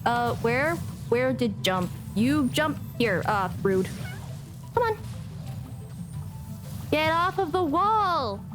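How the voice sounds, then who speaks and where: Woman streamer, high voice, unhurried, playful mock-scolding, casual gameplay chatter, music behind. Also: big pitch swings. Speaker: game streamer. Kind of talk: casual livestream game commentary. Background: game music.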